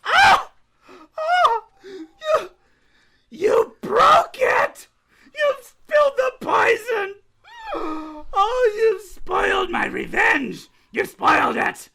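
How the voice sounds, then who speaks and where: A man's voice: very high-pitched, sing-song, drawn-out words, vocal fry, bright, forceful tone, exaggerated voice. A man playing a gleefully deranged, vengeful poisoner in a radio play, gloating and ranting theatrically. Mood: anguished fury.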